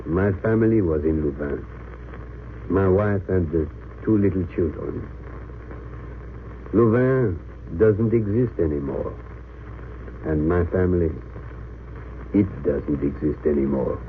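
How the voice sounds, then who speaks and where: A man's voice: low-pitched, slow pace, pauses often. An actor playing a grave, courteous Belgian resistance man in an old radio drama, speaking formally and earnestly. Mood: sorrowful. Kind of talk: 1930s-40s radio drama acting. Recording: tinny, hissy old radio recording.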